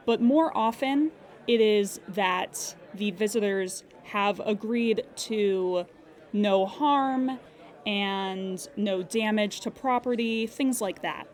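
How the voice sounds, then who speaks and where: A woman's voice: mid-range voice, close to an everyday voice. A woman playing a dryly weary, procedural insurance claims agent in an improvised, conversational audio drama. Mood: professional, reassuring. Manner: procedural, measured, wry asides when musing.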